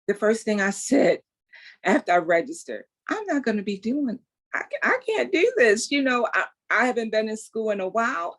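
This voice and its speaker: A woman in her sixties, a guest telling her story in an emphatic, faith-filled way. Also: fairly high pitch. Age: 60s. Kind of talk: casual interview, testimony.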